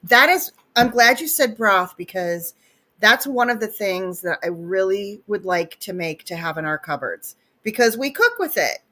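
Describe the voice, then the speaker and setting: A woman's voice: mid-range voice, lively intonation, normal speed, sharp tone. A sniffly woman chatting casually and enthusiastically as co-host of a livestream, laughing often and talking over a video call.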